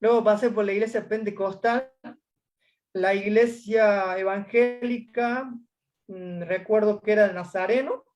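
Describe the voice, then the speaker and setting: A man's voice: sits high, fairly even intonation, unhurried, darkish tone. Hesitant Argentine man, from Córdoba. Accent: Argentine Spanish. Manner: hesitant, many fillers, retells his story. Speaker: interview guest. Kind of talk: casual testimony interview.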